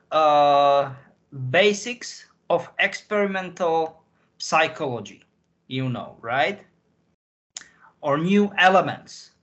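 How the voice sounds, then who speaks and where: A man with a Slovak accent talks slowly in a somewhat high voice, circling back over his phrases as an interview guest. He searches for words and rewords as he goes. The audio is slightly dull and lo-fi. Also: a bit bright. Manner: halting, repeats and reformulates phrases while searching for words. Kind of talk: rambling conversational interview answers.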